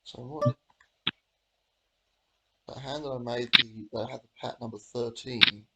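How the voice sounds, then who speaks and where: Hoarse man with a cold, gravelly. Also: mid-range voice, steady tone, a little slow, heavy background noise. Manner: rambling, half-muttered thinking aloud. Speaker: host. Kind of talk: casual commentary while playing online poker.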